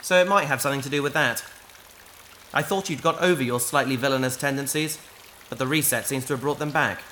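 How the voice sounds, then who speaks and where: A woman playing a patient, wry adventurer in a sci-fi audio drama, dryly and gently correcting an AI companion. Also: a bass voice, brisk, a little hiss or hum.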